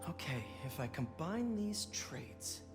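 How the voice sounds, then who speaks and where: A man's voice: a little high, very animated, slow pace. An actor playing a polite, earnest young man being shown around a new job in a game cutscene. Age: young adult. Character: young male science graduate, earnest and polite. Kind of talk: video game cutscene acting. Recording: game soundtrack music behind.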